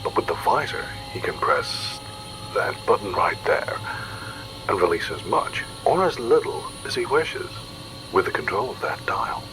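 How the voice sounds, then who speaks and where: Man playing a brainy student, very low, animated. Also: unhurried, background noise. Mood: intrigued. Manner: precise, explanatory. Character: brainy, articulate young male student scientist. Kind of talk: audio drama acting.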